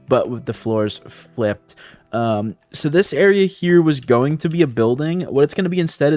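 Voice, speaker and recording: A man's voice: medium pitch, a little mellow. Male gaming creator, mid-range voice, casual and chatty commentary walking viewers through plans, background music. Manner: chatty, explains plans step by step.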